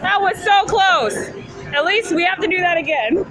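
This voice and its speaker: Very high-pitched excitable female arcade chatter. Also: moderate pace. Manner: excitable, coaching friends along. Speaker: vlogger. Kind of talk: casual chat while playing arcade games. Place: busy arcade. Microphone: handheld phone. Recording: loud music and crowd noise behind. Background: loud music, crowd, arcade bustle.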